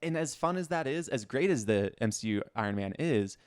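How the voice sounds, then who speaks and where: Smooth-voiced man in a dry studio sound. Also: mid-range voice, animated, brisk, no room sound. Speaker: podcast co-host. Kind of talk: casual opinionated pop culture chat.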